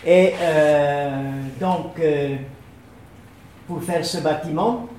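An older man from southern France giving a hesitant, measured talk with slides, pausing often to find his words. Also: fairly high pitch, steady tone, a little mellow, reverberant, slight background noise. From Ariège, southern France. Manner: hesitant, measured, many fillers. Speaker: former collaborator of an architect, speaker.

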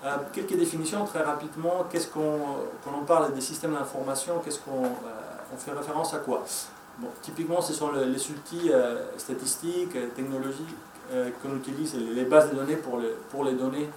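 A man's voice: mid-range voice, steady tone, moderate pace, few pauses, noisy background. A man with a Spanish accent and Spanish as his first language, giving a hesitant conference presentation with many fillers and restarts. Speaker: conference speaker. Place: conference room. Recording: echoey room, mic from far off.

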